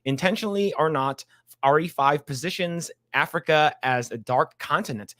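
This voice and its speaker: A man's voice: fairly high pitch, moderate pace, a bit bright. A man hosting a casual podcast, reading an article aloud and chatting with many restarts and fillers. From Chinese background. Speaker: podcast host.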